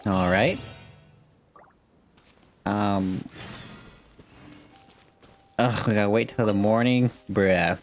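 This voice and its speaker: Low, slow, laid-back woman gamer. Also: faint music behind. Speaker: gaming video host. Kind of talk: casual reactions to a game story.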